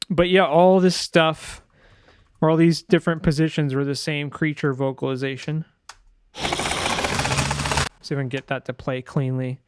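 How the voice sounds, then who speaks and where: A man's voice: fairly high pitch, a little hiss or hum. A man giving a casual screen-share tutorial as a sound designer, thinking aloud informally and correcting himself as he goes.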